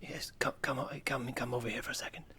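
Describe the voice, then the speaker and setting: A man voicing a hesitant, stammering scholar of the future, speaking formally and in hushed tones in an improvised comedy sketch. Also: mid-range voice, level tone, quick pace, in a whisper, lightly acted. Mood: conspiratorial, hesitant. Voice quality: hushed, creaky. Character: stammering male scholar of the future whispering furtively in library.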